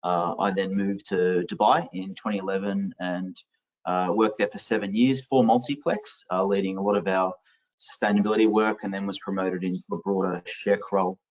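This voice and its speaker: A man's voice: low-pitched, moderate pace. A middle-aged man with an Australian accent, answering interview questions in a webinar, laying out facts and definitions steadily over a video call headset. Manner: fact-heavy, explanatory, steady. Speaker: interviewee, sustainability executive. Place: home office, video call. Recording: lo-fi distant video call audio.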